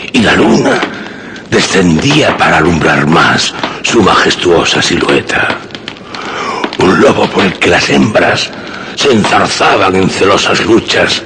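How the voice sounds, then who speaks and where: Slow, deep, gravelly uncle. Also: male voice, background noise, full character voice. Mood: proud, awed. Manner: slow, dramatic, pausing storytelling. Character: solemn, proud uncle recounting killing a wolf. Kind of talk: suspense and horror radio drama acting.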